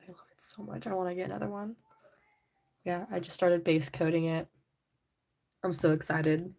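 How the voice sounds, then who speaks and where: A young woman hosting a casual livestream, chatty and giggly, her voice a little raspy from allergies. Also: fairly low pitch, normal speed, a little mellow. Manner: chatty, giggly, rambling with many "like"s. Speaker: livestream host. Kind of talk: casual livestream chat while painting a figure. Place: indoors at home.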